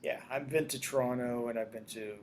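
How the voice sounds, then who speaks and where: A man's voice: mid-range voice, fairly quick, slight background noise, poor audio. Chatty older man raised in Australia. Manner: chatty, animated, repeats phrases. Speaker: guest, screenwriter and producer. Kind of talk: casual interview chat.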